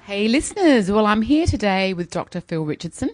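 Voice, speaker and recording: A woman's voice: medium pitch, very animated, brisk, music in the background. A woman with an Australian accent hosting an interview in an informal, effusive, chatty way. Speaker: podcast host interviewer.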